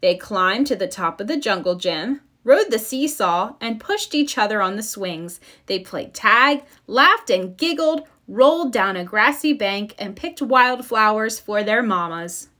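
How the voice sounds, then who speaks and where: A woman narrating a children's story in an expressive read-aloud style. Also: medium pitch, unhurried, hardly any pauses. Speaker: storybook reader.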